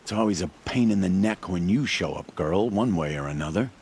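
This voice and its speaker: A man's voice: low voice, lively intonation, a little fast, a little mellow, a little hiss or hum. A man playing a cranky, wry village trader in a video game, with a sardonic, grumbling, offhand manner. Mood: mock dismay. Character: cranky, wry male village trader dealing illicitly with outcasts. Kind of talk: video game cutscene acting.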